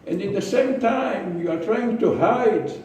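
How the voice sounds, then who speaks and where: A man with an Israeli accent giving a prepared speech to a club, slow and deliberate, with pauses and repeated words. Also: high-pitched, darkish tone, a little hiss or hum. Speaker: club speaker. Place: meeting room. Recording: echoey room from far off.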